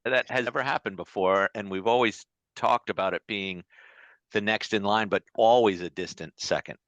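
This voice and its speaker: A man's voice: on the low side, normal speed, fairly bright tone, no echo. A man co-hosting a podcast, cracking dry, wry jokes. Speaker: podcast co-host. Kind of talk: podcast chat.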